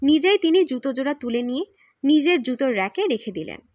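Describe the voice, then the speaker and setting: A woman's voice: high voice, sing-song, fast, a little mellow, dry recording. A woman reading scripted story narration as an audiobook narrator.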